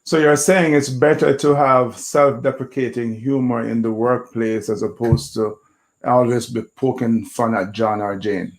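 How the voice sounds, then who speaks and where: A man's voice: mid-range voice, fairly even intonation, a little slow. A man hosting a live interview show, asking questions and throwing in playful, hyped-up asides. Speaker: show host.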